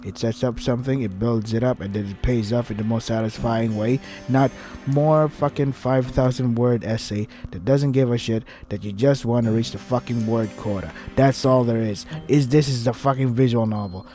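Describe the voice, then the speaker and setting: A man with a dark, mellow voice of average pitch reads a visual novel aloud as a let's play host. His commentary is irritated and sarcastic, loaded with swearing. Faint music runs behind him. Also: steady tone, normal speed.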